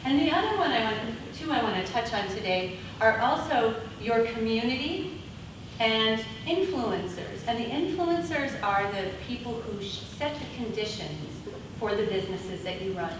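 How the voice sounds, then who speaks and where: A woman leads a conference workshop, talking loudly and laughing as she takes questions. She stands without a mic, so her voice comes from across an echoey room. Steady room noise runs under it. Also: on the high side, moderate pace, far from the mic. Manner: loud voice. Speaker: conference presenter. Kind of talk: workshop presentation with audience questions. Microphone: speaking without a mic.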